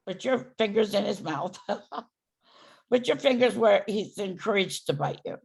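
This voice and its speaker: A hoarse woman dog trainer giving loud, emphatic instructions in a casual chat over a video call. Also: mid-range voice, lively intonation, measured pace. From San Francisco Bay Area.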